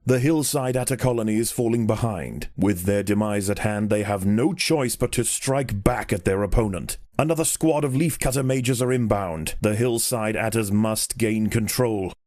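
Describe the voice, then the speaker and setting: A man reading scripted nature documentary narration for a game in a measured, grave and formal storytelling manner. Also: on the low side, darkish tone, no room sound, clean studio sound.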